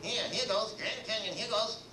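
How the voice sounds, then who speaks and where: A man's voice: high voice, normal speed, pitched above the performer's own voice, close to an everyday voice. A man doing a goofy puppet comic. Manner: goofy, sing-song, deadpan. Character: silly boastful male puppet comic. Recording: distant voice in echoey room with noise.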